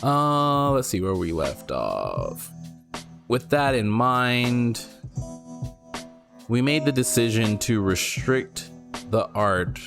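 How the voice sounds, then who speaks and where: A man who is not a native English speaker, casually thinking aloud while he reads and reacts to a card game article, rereading lines and breaking into excited exclamations. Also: mid-range voice, slow, gravelly, long pauses, music in the background. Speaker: card game content creator.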